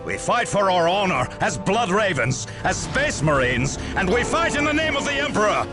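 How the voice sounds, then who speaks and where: An actor playing a proud, resolute space marine commander in a game, declaiming with commanding heroic oratory. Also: male voice, high voice, big pitch swings, normal speed, over music. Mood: rousing.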